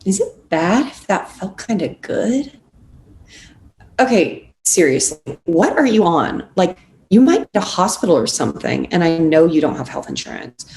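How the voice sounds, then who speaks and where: A woman's voice: lowish voice, big pitch swings, moderate pace, darkish tone. A woman playing a blunt, sarcastic, impatient young best friend in a script table read. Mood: wry, exasperated. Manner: sardonic, impatient. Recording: echoey room with faint noise.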